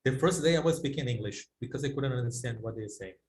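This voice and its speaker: A man's voice: medium pitch, animated, quick pace, darkish tone. A man from Brazil whose first language is Portuguese, speaking English on a video call and searching for words, often adding "yeah". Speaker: guest. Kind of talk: casual discussion on a video call.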